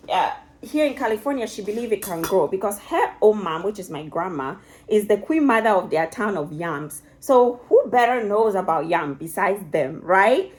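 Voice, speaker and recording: Chatty Ghanaian woman in her kitchen. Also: mid-range voice. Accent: Ghanaian, mixed with Italian and British touches. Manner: chatty, lots of "like" and restarts, shifts accents playfully. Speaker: vlogger. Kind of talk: casual vlog chat while cooking. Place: home kitchen, indoors. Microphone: phone camera on the counter, filming from below.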